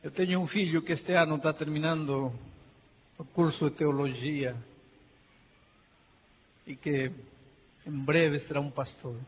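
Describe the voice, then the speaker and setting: Peruvian-accented pastor preaching with passion. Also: male voice, on the high side, fairly even intonation, a little slow, pauses often, slight background noise. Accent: Peruvian Spanish. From Peru. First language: Spanish. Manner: impassioned preaching, swinging from soft to near shouting. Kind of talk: sermon. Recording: tinny lo-fi sound in a reverberant hall.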